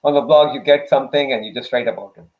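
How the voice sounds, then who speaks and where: A man's voice: mid-range voice, fast. A man whose first language is Urdu, speaking as a guest in a casual interview, hesitant with many fillers and restarts. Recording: tinny phone-like line.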